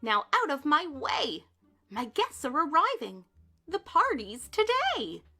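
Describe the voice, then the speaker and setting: A woman voicing a bossy, snappish female witch in a storybook read-aloud, scolding and shrill. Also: big pitch swings, slow pace, sharp tone, soft background music, full character voice. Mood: stern.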